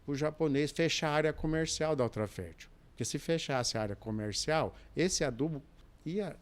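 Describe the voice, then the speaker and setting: A man's voice: a little high, expressive, moderate pace. Older Brazilian man telling rambling stories. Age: 60s. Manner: rambling, restarts often, adds asides. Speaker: podcast guest, businessman and dispatcher. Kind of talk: relaxed storytelling in a chat.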